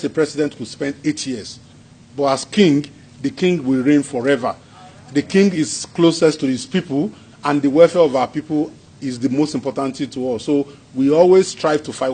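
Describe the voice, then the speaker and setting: A man with a Yoruba-accented Nigerian English voice, pitched somewhat above the usual male range. He talks to a hall audience as a traditional king, in a loose conversational way, chuckling often and circling back over his own phrases. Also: darkish tone, husky. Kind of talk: informal speech and Q&A answers.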